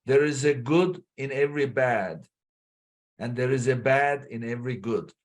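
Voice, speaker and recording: A male podcast host asks his questions and thanks his guest in a measured, encouraging manner. His voice sits at an ordinary middle pitch, and he keeps an unhurried, conversational pace. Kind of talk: interview hosting.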